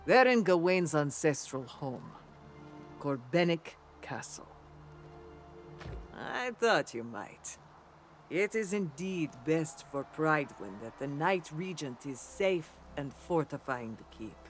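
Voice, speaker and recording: Slow, gallant knight, higher-pitched. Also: male voice, sing-song, faint music behind. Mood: grave, conspiratorial. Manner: measured, formal, theatrical delivery. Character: noble armored knight, loyal, gallant, wryly self-deprecating. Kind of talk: scripted fantasy video game dialogue.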